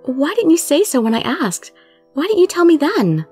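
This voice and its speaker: A woman's voice: a little high, very animated, moderate pace, darkish tone, faint music behind, pitched above the performer's own voice. A woman voicing a hurt, feisty young woman in a romance story, emphatic and indignant. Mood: distressed, questioning. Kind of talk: dramatic romance story reading.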